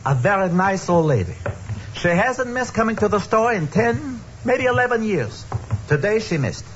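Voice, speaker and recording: High, fretful Yiddish-accented deli owner. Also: male voice, big pitch swings, normal speed, a bit bright. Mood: worried. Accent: New York Yiddish. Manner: pleading, emphatic. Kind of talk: old-time radio acting. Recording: muffled, noisy old radio recording.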